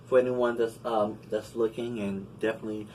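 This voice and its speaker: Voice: mid-range voice, fairly even intonation, brisk, darkish tone. An interview host asking questions in a casual, playful way and affirming the guest often. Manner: friendly, playful, lots of affirming mm-hmms. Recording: distant mic with light background noise.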